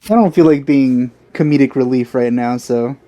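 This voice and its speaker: Male let's play host: soft-edged dark tone, dry close sound, halting, thoughtful casual commentary. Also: medium pitch, dry recording. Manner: musing, hesitant, halting phrasing. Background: game music.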